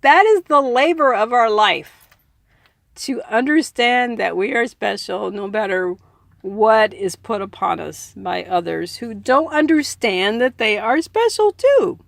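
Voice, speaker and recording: Slow-paced woman reading, creaky higher voice. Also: lively intonation, no room sound. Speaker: reader and commentator. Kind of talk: audiobook reading with informal asides.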